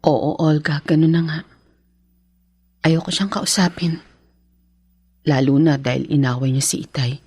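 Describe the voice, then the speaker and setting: An actress playing a devoted young wife in a radio drama, speaking earnestly and tenderly. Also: female voice, low voice, steady tone, unhurried, long pauses, darkish tone, breathy edge, dry recording, studio quality. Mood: earnest, confiding.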